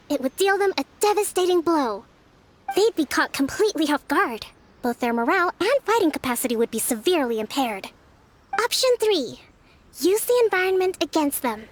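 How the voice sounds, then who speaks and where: A woman playing a gentle, confident young female leader in a video game, speaking in a measured, articulate, formal yet friendly way. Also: high-pitched. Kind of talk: video game character dialogue.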